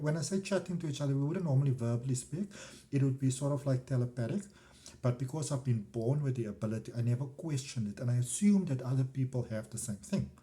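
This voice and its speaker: A man's voice: medium pitch, mellow, slight background noise. A middle-aged man with a South African accent, talking casually and discursively as a spiritual vlogger, often trailing off into asides. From South Africa, countryside. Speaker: spiritual vlogger and energy healer. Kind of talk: casual rambling personal talk to camera.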